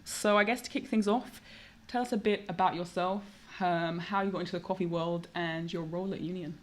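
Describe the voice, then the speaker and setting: A woman's voice: lowish voice, fairly even intonation, a little fast. A young woman hosting a podcast interview, upbeat and encouraging as she asks questions. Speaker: podcast host. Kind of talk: casual interview.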